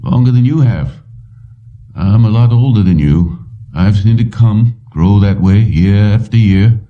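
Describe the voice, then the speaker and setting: A man's voice: fairly low pitch, a little slow, pitched above the performer's own voice, close to an everyday voice. A man voicing an older male company boss in a story, strained and wary, on a dull recording. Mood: weary. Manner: tense, pressed delivery. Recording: muffled, distant-sounding dull recording.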